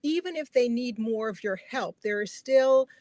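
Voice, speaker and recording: A woman's voice: fairly high pitch, expressive, normal speed, darkish tone, no room sound. A middle-aged woman from Guyana talking in an earnest, reflective way in a personal conversation, repeating phrases for emphasis. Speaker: podcast guest, family caregiver.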